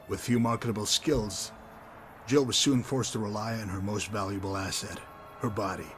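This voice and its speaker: Man playing a low-voiced sardonic underworld enforcer. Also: a bit bright, lightly acted. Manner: wry, wordy, mockingly polite. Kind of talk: scripted video game dialogue.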